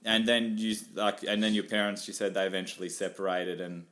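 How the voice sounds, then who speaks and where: A man's voice: lowish voice, steady tone, a little fast, a bit bright. A man with an Australian accent hosting a casual interview, asking rambling questions full of fillers. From northern New South Wales, Australia. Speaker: podcast host.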